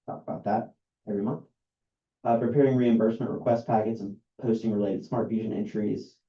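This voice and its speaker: A man, an outside accountant, reading a list of services aloud at a meeting in a dull, monotone way, with many ums and uhs. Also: on the low side, a little fast. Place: meeting room. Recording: roomy, dull lo-fi meeting recording.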